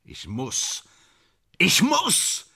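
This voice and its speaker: Tormented, vain actor, wildly swinging delivery. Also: male voice, mid-range voice, big pitch swings, measured pace, sharp tone, exaggerated voice. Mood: despairing. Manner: theatrical, declamatory, swinging between muttering and outbursts. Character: tormented, vain stage actor, bitter and self-dramatising. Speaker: actor in a radio drama. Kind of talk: German radio play acting.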